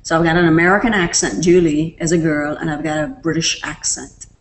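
Female tutorial presenter, low voice, easygoing step-by-step delivery, light background hiss. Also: brisk. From Canada. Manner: relaxed, step-by-step explaining with fillers. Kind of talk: screen-recorded software tutorial.

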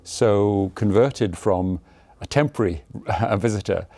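A man's voice: on the low side, slow, mellow, no echo. A man in his sixties, a cardiology professor, talking thoughtfully about his career in a studio interview, with frequent hesitations and self-deprecating humor. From Zimbabwe and Malawi. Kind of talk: relaxed conversational interview. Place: studio set with a blue backdrop. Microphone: lapel mic.

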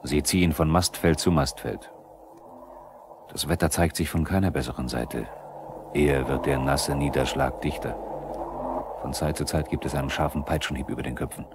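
A man's voice: extremely low pitch, steady tone, normal speed. A man acting as a sober, probing narrator in a radio play, on a noisy 1970s recording. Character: sober probing male narrator who challenges the workers. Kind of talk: scripted radio play narration, questioning the characters.

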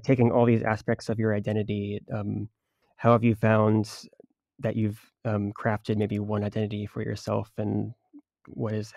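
A man hosts a music interview, feeling his way through long questions with restarts and fillers. His voice is low, dark and gravelly with a flat delivery, and it is recorded close and dry. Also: dry recording. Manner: rambling, self-correcting questions. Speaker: podcast host, interviewer.

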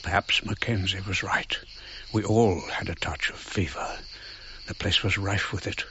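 An older actor playing a haunted old archaeologist, narrating his memories in measured, broken phrases for radio drama. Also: low-pitched, steady tone, sharp tone, a little hiss or hum, heavily acted. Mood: haunted, reflective. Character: old English archaeologist, haunted, wistfully recalling his past. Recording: dull radio recording, prominent music behind.

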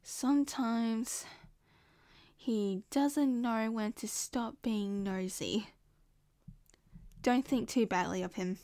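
Woman acting a possessive young Australian woman, unhurried. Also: a little high, dark tone, no room sound, studio quality. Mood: sweet, probing. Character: possessive, jealous young yandere woman, sweet but scheming. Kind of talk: ASMR roleplay acting.

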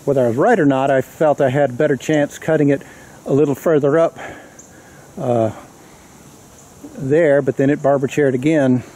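Guy chatting to the camera out in the woods, soft mellow tone, keeps restarting his sentences. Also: mid-range voice, steady tone, moderate pace, pauses often. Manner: rambling, self-correcting explanation. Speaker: vlogger. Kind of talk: casual talk to camera. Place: outdoors in a woodland clearing.

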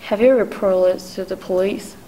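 A woman's voice: mid-range voice, fairly even intonation, normal speed, mellow, a little hiss or hum, natural voice, low-quality recording. A woman acting a polite but unbending telephone operator in a 1940s-style radio play, courteous and procedural. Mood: apologetic, firm.

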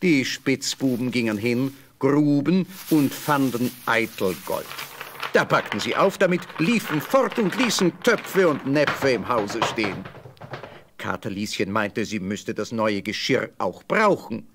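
A man's voice: mid-range voice, animated, unhurried, background noise. A man narrating a scripted fairy tale as a storyteller.

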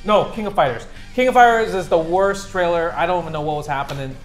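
A man's voice: on the high side, fast, faint music behind, poor audio. A young adult man chatting casually as a panelist, explaining in slang and often checking in with his listeners. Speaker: panelist in a trailer reaction show. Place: small living room on a couch.

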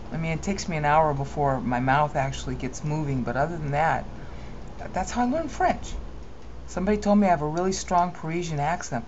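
A woman giving a rambling, opinionated screen-recorded software tutorial, often correcting herself mid-sentence. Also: sits low, highs cut off, slight background noise.

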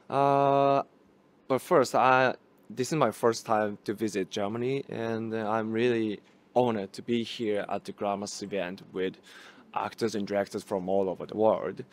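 A young actor speaking very slowly at a festival interview, in a lowish voice. He repeats words and gropes for the right phrase. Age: young adult. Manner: searching for words, repeats himself.

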